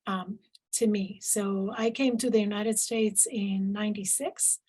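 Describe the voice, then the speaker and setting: A middle-aged woman from Israel whose first language is Arabic, a professor answering interview questions thoughtfully, with frequent pauses and restarts. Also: mid-range voice, steady tone, mellow, slightly rough. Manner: thoughtful, many fillers and restarts. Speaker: professor guest.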